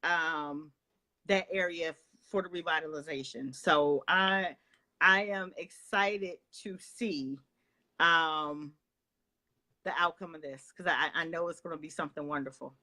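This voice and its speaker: A woman hosting an interview from her home, her voice notably bright and crisp. She talks in a halting way, full of fillers and pauses, and keeps affirming what her guest says. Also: low voice. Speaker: interview host. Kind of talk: interview chat.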